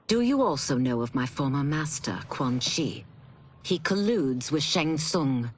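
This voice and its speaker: An actor playing a wary, grim female warrior who speaks in a hushed, intense way. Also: low voice, lively intonation, unhurried, slight background noise.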